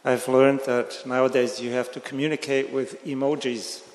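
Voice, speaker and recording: A man's voice: medium pitch, little pitch movement, moderate pace. A man serving as church elder, giving the announcements with a light, joking touch. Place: large church sanctuary. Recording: echoey church hall.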